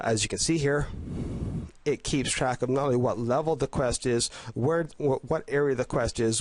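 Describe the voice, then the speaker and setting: A man with a head cold talks through a game guide, his voice a bit stuffy and nasal. He sounds eager but keeps stumbling and restarting his sentences. His pitch sits in an ordinary middle range, and the recording is dry with no room echo. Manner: enthusiastic, halting, with many restarts and fillers. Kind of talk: casual gameplay voice-over commentary. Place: at home.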